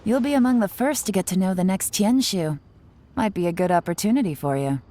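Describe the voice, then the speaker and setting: An actress playing a confident, teasing young covert operative in a video game, with a sly, unhurried delivery. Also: female voice, mid-range voice, animated, mellow, a little hiss or hum, close to an everyday voice. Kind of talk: scripted video game dialogue.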